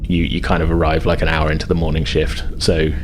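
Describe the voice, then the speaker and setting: A low-voiced man acts as game master for a tabletop roleplaying game. He explains things casually and loosely, hedging often. Faint room noise sits behind him. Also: monotone, fast talker. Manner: casual, hesitant hedging with "sort of" and "like".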